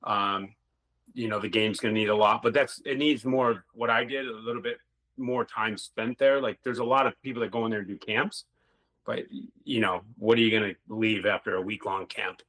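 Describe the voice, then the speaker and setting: A man's voice: lowish voice, steady tone, normal speed, fairly bright tone, rough recording. A middle-aged man, a former hockey player, telling rambling stories in a casual interview and swearing now and then.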